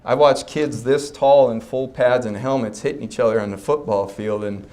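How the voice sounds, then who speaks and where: A man gives a health talk at a mid pitch. He keeps a relaxed, folksy manner, joking with the crowd and calling them "guys.". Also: normal speed. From Fargo, North Dakota. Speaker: chiropractor giving a health talk. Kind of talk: public talk at a health expo. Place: expo breakout session room before a live audience.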